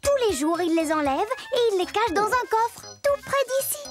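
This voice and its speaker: A performer voicing an excitable, chatty little girl who confides playfully in the viewer of a children's cartoon. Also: extremely high pitch, normal speed, music in the background. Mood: playful storytelling.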